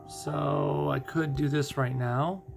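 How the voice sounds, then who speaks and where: Gravelly-voiced man commentating on a game. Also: fairly high pitch, steady tone, slow. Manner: thinks out loud, asking and answering own questions. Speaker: host of a mobile puzzle game playthrough. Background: music.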